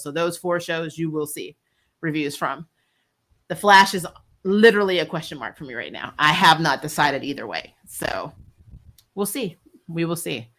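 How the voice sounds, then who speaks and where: A woman's voice: fairly low pitch, sharp tone. A woman hosting a casual recap livestream, talking informally and chattily about shows and viewer comments. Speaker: host of a TV recap show.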